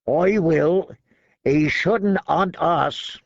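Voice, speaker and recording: A man voicing a huge, slow-witted, bearded soldier in an audiobook, speaking in a simple, plodding way. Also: a little high, vocal fry, no echo, highs cut off, voice pushed up, full character voice.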